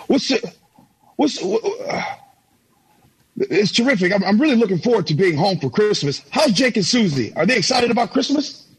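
A man's voice: high-pitched, very animated, moderate pace, slightly rough, heavily acted. An actor playing a harried, affectionate 1950s businessman and father in an old-time radio drama, with a hesitant, stammering delivery. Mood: cheerful, rushed. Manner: hesitant, stammering, folksy exclamations.

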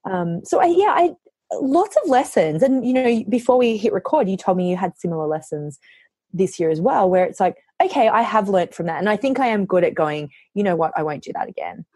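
A woman author, hoarse from losing her voice, chatting casually on a podcast and telling lively stories, often quoting herself. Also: mid-range voice, brisk, no echo, clean studio sound. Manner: animated storytelling, quotes herself.

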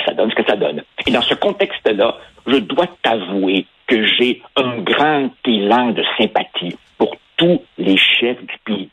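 Animated Quebec French man with a husky voice. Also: lowish voice, dry recording, poor audio. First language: Spanish. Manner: passionate, animated, repeats words while building points. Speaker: commentator. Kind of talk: opinion talk on radio.

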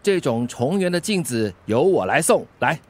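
A man's voice: a little high, big pitch swings, moderate pace. A man acting in a radio drama as a jovial, meddling older uncle who likes playing matchmaker. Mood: jovial, teasing.

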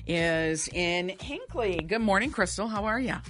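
A woman's voice: mid-range voice, animated, a little slow, fairly bright tone. A woman hosting a radio show, bantering casually during a quiz. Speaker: radio host and quiz player.

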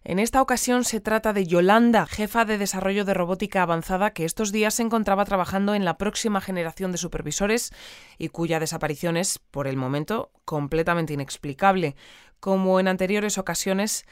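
An actress playing a composed radio newsreader in an audio fiction, reading scripted news in a measured, polite, deadpan way. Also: female voice, medium pitch, clean tone, dry recording, studio quality, lightly acted. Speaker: news presenter.

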